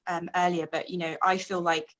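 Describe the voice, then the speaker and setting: A woman with a somewhat low voice hosts a casual conversation. She speaks informally and reflectively, working through ideas as she explains them to her guest. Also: little pitch movement, moderate pace, a bit bright. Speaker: host.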